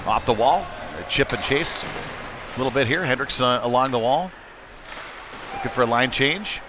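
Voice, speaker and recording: A man's voice: medium pitch, normal speed. A man doing live play-by-play hockey commentary in clipped running phrases that lift with the action. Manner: clipped running phrases, excited on big plays. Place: indoor ice rink during a game. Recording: clear rink noise behind the voice.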